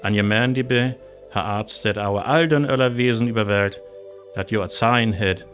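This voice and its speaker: A grave actor playing the male narrator of a science fiction radio drama speaks with a North Frisian accent. His voice sits on the low side, and his delivery is scripted and dramatic, with soft music underneath. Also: normal speed, lightly acted. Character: grave male narrator of an alien invasion tale.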